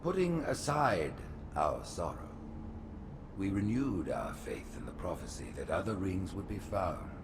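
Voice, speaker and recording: An actor playing an old alien hierarch in a game cinematic, gravelly, pompous and theatrical, with a scheming, regal air. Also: male voice, sits low, very animated, unhurried, noisy background, full character voice. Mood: solemn grandeur. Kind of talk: video game cinematic acting. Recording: music behind the voice.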